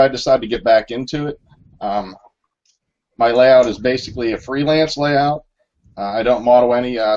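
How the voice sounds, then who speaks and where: A man's voice: mid-range voice, a little mellow. A man in his thirties introducing himself on a video call, hesitant and modest, with many fillers. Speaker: guest modeler. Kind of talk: casual self-introduction. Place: wood-paneled room at home. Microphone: webcam on a video call. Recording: muffled video-call audio.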